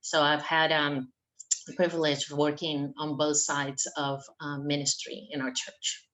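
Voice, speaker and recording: A woman's voice: low voice, level tone, a little slow, a bit bright, rough recording. A woman from Venezuela, a church formation director, speaking reflectively on an online panel. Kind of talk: panel discussion. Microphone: video call.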